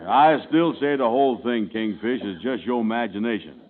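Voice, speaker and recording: An actor playing a slow, easygoing sidekick in a 1940s radio comedy, with a lazy drawl. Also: male voice, medium pitch, lightly acted. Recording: tinny old radio broadcast recording.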